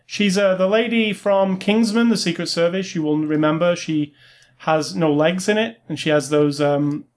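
Higher-pitched man chatting about films. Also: normal speed. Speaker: film review co-host. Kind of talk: casual film review chat.

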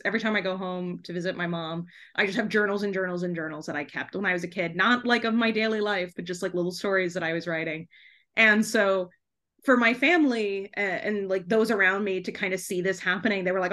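A woman's voice: medium pitch, fairly bright tone. A woman guest talking casually in a podcast interview, often giggling as she speaks. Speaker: guest author and TV trailer writer.

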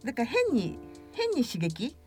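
A woman's voice: on the high side, huge pitch swings, unhurried. A woman co-hosting a radio show, moving between casual chat and segment introductions. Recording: music behind the voice. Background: background music.

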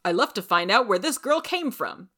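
A woman's voice: medium pitch, sing-song, normal speed, a bit bright, pitched above the performer's own voice. A woman voicing a loyal, solemn, plain-spoken young man in a storybook, with firm, emphatic delivery.

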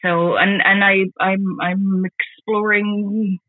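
A woman in her forties from the Netherlands, with a Dutch accent, talking casually as an interview guest, halting and often repeating words as she restarts. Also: mid-range voice, flat delivery. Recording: tinny phone-like call audio.

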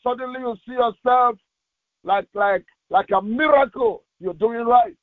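A male pastor reading scripture and preaching in short, deliberate, emphatic phrases, heard through tinny, narrow audio. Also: extremely high pitch, no echo.

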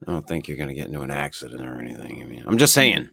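A man's voice: rumbling low, big pitch swings, very fast, gravelly, a crowd in the background. A man co-hosting a podcast in loose, laid-back chat, swearing freely and filling gaps with "uh". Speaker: podcast co-host.

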